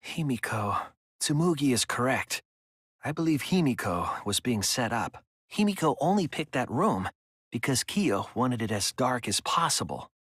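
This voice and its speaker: A man playing an earnest young sleuth in a dramatic game, reasoning with deliberate emphasis and compassion. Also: medium pitch, big pitch swings, a bit bright, slightly rough, no echo, studio quality. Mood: analytical. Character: earnest young male sleuth reasoning through a murder trial. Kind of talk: dramatic game-dub acting.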